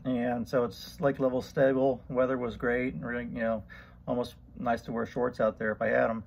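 A middle-aged man giving a casual fishing report, relaxed and rambling with many ums and uhs, recorded on a camera at arm's length. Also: medium pitch, normal speed, gravelly, highs cut off. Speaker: fishing vlogger. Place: outdoors on a boat on a lake. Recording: loud outdoor boat and wind noise. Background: boat motor and water noise.

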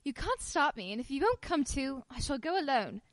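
A woman's voice: high voice, big pitch swings, fairly quick, no room sound. A woman acting an anxious but stubborn wife in an old-time radio play. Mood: defiant. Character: Pamela Brent, anxious but stubborn young English wife.

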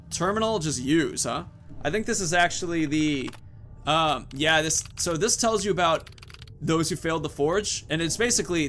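A man's voice: on the high side, fairly bright tone, slight background noise. A man in his thirties giving casual let's-play commentary, rambling informally as he thinks aloud into a headset. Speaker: gaming video host.

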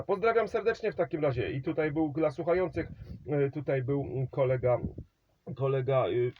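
A man's voice: medium pitch, lo-fi sound. A man working a CB radio contact, rambling about his trailer setup, giving signal reports and handing over the microphone. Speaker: CB radio operator.

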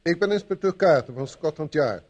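An actor in a Dutch radio play as a sceptical police inspector, formal and authoritative. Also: male voice, a little high, expressive, brisk, darkish tone. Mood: official, stern.

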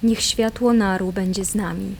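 An actress playing a devout, hopeful female draenei priestess in a fantasy audio drama, speaking calmly, softly and solemnly. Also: mid-range voice, normal speed, gravelly, background noise, natural voice. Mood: solemn blessing. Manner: calm, soft, solemn.

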